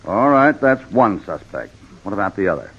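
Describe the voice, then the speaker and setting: A low-pitched American cowboy hero, steady, shrewd and in command. He asks his questions in a measured way, as in a vintage radio western, on a slightly muffled old recording. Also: creaky, slightly rough, heavily acted. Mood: businesslike.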